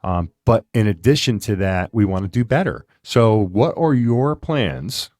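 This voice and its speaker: A man in his fifties hosting a podcast, talking in a chatty, rambling way with animated asides. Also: on the low side, a little slow, dry recording. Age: 50s. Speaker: podcast host. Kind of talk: casual interview chat.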